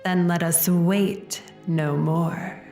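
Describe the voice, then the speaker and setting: A woman's voice: on the low side, little pitch movement, drawn-out words. A woman playing a romantic, brooding opera-house phantom with a theatrical, melodramatic delivery in an audio comedy sketch. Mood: yearning. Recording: echoey cavern reverb, loud music behind.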